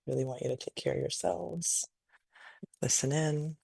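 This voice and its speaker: Husky, creaky woman guiding a meditation. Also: low-pitched, steady tone, no room sound, rough recording. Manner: gentle, unhurried, invitational, with long pauses. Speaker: meditation teacher leading a guided session. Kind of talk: guided meditation, softly improvised. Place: online video call session.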